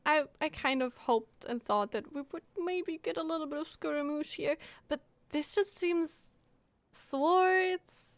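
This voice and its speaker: A woman's voice: sits high, unhurried, dry recording. A woman streamer giving casual, reactive game commentary, musing aloud as she plays. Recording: game music behind the voice. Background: game music.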